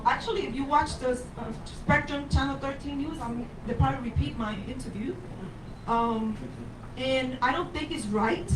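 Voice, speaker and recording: A woman's voice: high voice, far from the mic, noisy background. An older woman from Puerto Rico, a candidate for mayor speaking at a forum, rambling and emphatic as she tells personal stories. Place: bar with a live audience. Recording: roomy sound from off the mic.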